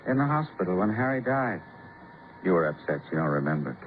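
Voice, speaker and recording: A man's voice: deep voice, very animated, fairly quick. An American man playing a cowed, defensive husband in a 1950s radio drama, tense and protesting, repeating himself. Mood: uneasy. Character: cowed, defensive husband shielding his wife. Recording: scratchy old radio broadcast, narrow band, hiss.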